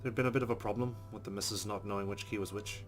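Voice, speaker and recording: A man's voice: on the low side, fairly even intonation, rapid-fire. A man reading a game's narration aloud, adding wry asides. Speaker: let's play host. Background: game music.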